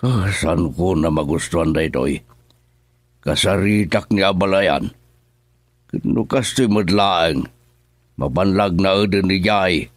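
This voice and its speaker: A man's voice: low-pitched, slow pace, pauses often, no echo, clean studio sound. A man playing a stern angry old Ilocano father. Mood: worried. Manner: gruff and commanding, shouting in anger. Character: stern older father angry at son-in-law. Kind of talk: radio drama acting.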